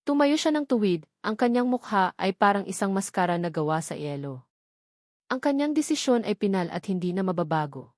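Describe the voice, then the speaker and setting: A woman's voice: medium pitch, big pitch swings, fairly quick, darkish tone, no echo, clean studio sound. A woman reading a scripted story as a dramatic narrator.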